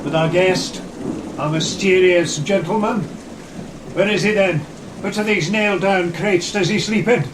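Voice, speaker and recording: A man's voice: high voice, steady tone, measured pace. A man playing a defiant, desperate, God-fearing sea captain, intense and declamatory, in a gothic horror audio drama. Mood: searching, defiant. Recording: echoey room, storm noise behind. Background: rain and thunder.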